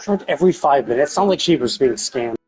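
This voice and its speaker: A man streaming a game, casually rambling and thinking out loud as he commentates. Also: mid-range voice, expressive, fairly quick, husky, soft background music, low-quality recording. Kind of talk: casual live stream commentary, speculating aloud.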